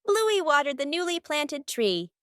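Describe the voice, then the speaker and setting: A woman's voice: high-pitched, sing-song, a little slow, fairly bright tone, no echo, studio quality. A woman reading scripted narration for a children's cartoon story. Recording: music behind the voice.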